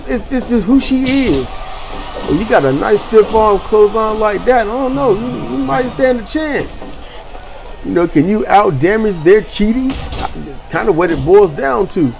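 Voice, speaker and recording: A man's voice: high-pitched, vocal fry. Chatty man calling game wrestling. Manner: energetic, chatty, rambling with restarts. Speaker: host and commentator. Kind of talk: video game wrestling commentary.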